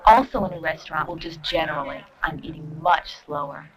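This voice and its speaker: A woman's voice: deep voice, a little fast, crowd noise, low-quality recording. A woman talking casually and reflectively about her own experience in a documentary interview. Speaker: dieter giving a testimonial. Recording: 1970s documentary film soundtrack.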